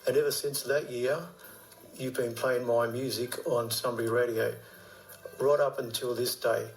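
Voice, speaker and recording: A man's voice: medium pitch, little pitch movement, moderate pace, poor audio. A man with an Australian accent, a musician giving a casual recorded thank-you message.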